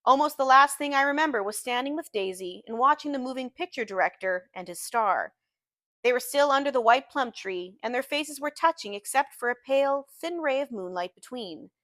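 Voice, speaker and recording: High-voiced woman reading a novel aloud. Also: lively intonation, fairly quick, fairly bright tone, dry recording. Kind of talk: literary audiobook narration with acted dialogue.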